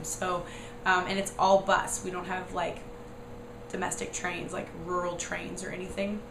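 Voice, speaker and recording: A young American woman, hoarse from a cold, with a raspy, squeaky voice, casually rambling through a vlog with lots of "like" and "um". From United States. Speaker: vlogger. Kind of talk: casual chatty explanation. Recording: echoey room with noise.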